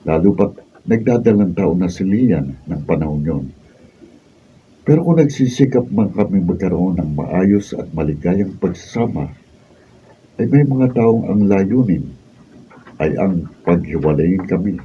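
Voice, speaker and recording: An actor playing an earnest, steadfast young husband in a Filipino radio drama, speaking with measured emphasis. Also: male voice, deep voice, lively intonation, distant mic, muffled recording. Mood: solemn, reflective. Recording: off-mic, faint background noise.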